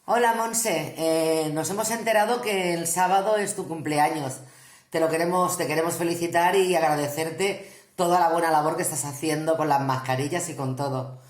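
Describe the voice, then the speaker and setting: In a roomy, echoing space, a woman with an accent from Spain speaks in a low voice. She gives a casual personal greeting of thanks. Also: moderate pace. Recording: echoey room.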